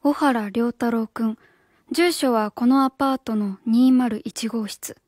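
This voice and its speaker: A woman's voice: fairly high pitch, a little slow, a little mellow, no room sound, studio quality. An actress playing an earnest young female English teacher in a radio drama, speaking politely and formally. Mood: businesslike. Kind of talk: Japanese radio drama acting, dialogue and inner monologue.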